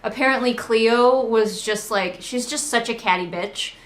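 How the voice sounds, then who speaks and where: A woman's voice: a little high, a little slow, fairly bright tone, echoey room. Sarcastic woman giving casual book commentary. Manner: sarcastic, sweary, conversational. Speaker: book critic and host of a readalong.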